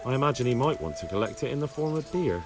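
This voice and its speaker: A man's voice: medium pitch, sing-song, quick pace, music in the background. A man acting an earnest young medieval man-at-arms in a video game, polite and straightforward. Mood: shrewd, pressing.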